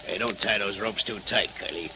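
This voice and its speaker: An American actor playing a gruff, folksy gangster henchman with slangy, mock-soothing tough talk in 1930s radio style. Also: on the low side, normal speed, bright, forceful tone. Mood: mock-reasonable. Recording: noisy, hissy 1930s radio transcription.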